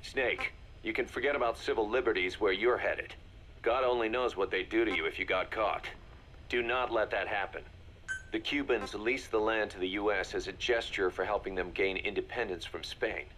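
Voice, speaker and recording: A hard-nosed military second-in-command for a video game. He talks bluntly and colloquially in a low voice. Faint background noise sits behind him. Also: moderate pace, sharp tone. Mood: urgent, serious. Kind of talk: game voice acting, briefing dialogue.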